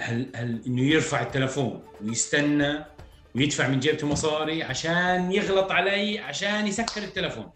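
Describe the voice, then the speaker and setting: A man in his thirties with a rural Palestinian accent, talking frankly as a podcast guest, reflective and quick to laugh. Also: on the high side, a bit bright. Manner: candid, reflective, laughs easily. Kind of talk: casual podcast conversation. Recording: echoey room with faint music.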